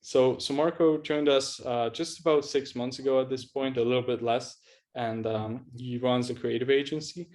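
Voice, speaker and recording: Man, interview host, medium pitch, casual, halting, repetitive phrasing. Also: steady tone, a little mellow. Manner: halting, repeats words, many "you know". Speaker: host, agency coach interviewing a student.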